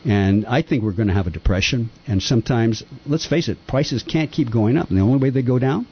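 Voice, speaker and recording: A man's voice: a little fast, husky. An older man who was born in Japan, talking earnestly and at length with rambling, impassioned opinions on a radio-style talk show. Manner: earnest, rambling, lively pitch swings. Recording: dull lo-fi sound.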